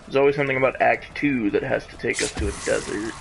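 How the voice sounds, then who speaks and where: A man's voice: medium pitch, quick pace, creaky, background noise. A man giving casual, jokey live commentary as he streams a game, with mock drama. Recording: game music behind the voice. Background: video game music.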